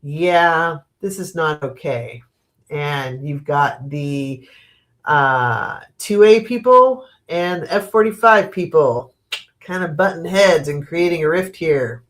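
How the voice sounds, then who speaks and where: A middle-aged American woman with a sniffly, stuffed-up voice, hosting a live tarot stream at home, rambling slowly with fillers and restarts and laughing at her own asides. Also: low voice. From United States. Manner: slow, rambling, thinking aloud with many fillers and restarts. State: sniffly from allergies. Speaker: tarot reader and channel host. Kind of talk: live-stream tarot reading, casual chat.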